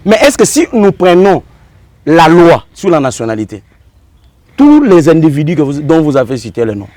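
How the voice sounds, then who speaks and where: High-pitched, animated Congolese man arguing insistently. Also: moderate pace, dry recording. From Democratic Republic of the Congo. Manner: insistent, emphatic, repeats phrases and poses rhetorical questions. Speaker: interview guest. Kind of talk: political and legal argument in a TV interview.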